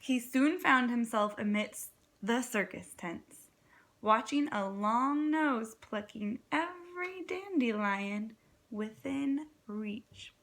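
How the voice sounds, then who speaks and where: A woman, a kindergarten teacher, reading a storybook aloud expressively, with dramatic pauses and encouraging questions for young listeners. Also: on the high side, lively intonation, a bit bright. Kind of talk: children's read-aloud with prompting questions.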